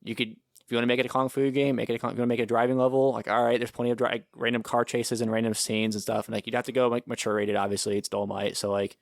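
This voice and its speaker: A man's voice: medium pitch, level tone, rapid-fire, creaky, hardly any pauses, no echo, studio quality. A man co-hosting a casual podcast chat, rambling and enthusiastic, swearing casually. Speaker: podcast co-host.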